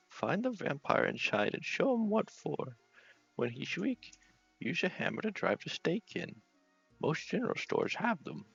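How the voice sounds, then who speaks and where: A man's voice: fairly high pitch, creaky, a bit bright, husky, no room sound, highs cut off, pitched above the performer's own voice, exaggerated voice. A man voicing a boozy, rambling old vampire-hunting doctor from a video game, with a slurred tipsy drawl.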